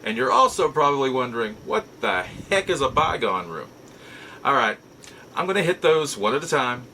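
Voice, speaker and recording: A man hosting a nostalgia show in a casual monologue, with a playful, theatrical manner and drawn-out emphasis. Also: mid-range voice, very animated, sharp tone, slight background noise. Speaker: host of a nostalgia collectibles show. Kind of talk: casual scripted-feel vlog monologue.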